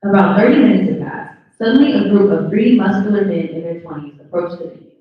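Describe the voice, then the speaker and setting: A woman's voice: mid-range voice, fast talker. A woman reading scripted stage directions as narrator at a live table read. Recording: very echoey room, distant dull mic.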